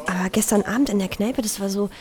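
A polite young female visitor played by an actress in an audio drama. She talks casually and a little hesitantly at a medium pitch, over clattering background bustle. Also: quick pace, a crowd in the background, natural voice. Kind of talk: natural conversational audio drama acting.

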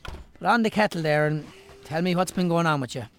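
A man's voice: a little high, a little fast, soft background music, lightly acted. A man playing a caring, teasing Irish friend in a radio drama, chatty and bantering. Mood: friendly, concerned. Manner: bantering, concerned. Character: caring, teasing male old friend, married. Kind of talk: naturalistic radio drama acting.

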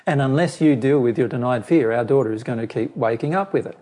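A man's voice: medium pitch, mellow. A man losing his voice, explaining a personal question at length and restarting his phrases as he goes. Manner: measured, repeats and restarts phrases. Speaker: spiritual teacher answering a question. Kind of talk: explaining to a listener.

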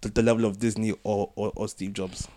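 A man's voice: fairly low pitch, flat delivery, fairly quick, a crowd in the background. A man co-hosting a casual podcast discussion, thinking aloud in a tentative way. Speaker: podcast co-host.

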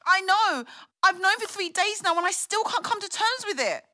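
A woman's voice: very high-pitched, lively intonation, moderate pace, sharp tone, no echo, clean studio sound, heavily acted. A young woman acting as a distraught, apologetic pregnant daughter in an audio drama, pleading and hesitant. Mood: confused, earnest. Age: young adult.

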